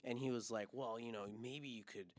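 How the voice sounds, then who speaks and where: A man's voice: medium pitch, brisk, dry recording. A man hosting a podcast who tells anecdotes in a casual, storytelling way. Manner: storytelling, animated when retelling. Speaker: podcast host. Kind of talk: casual chat.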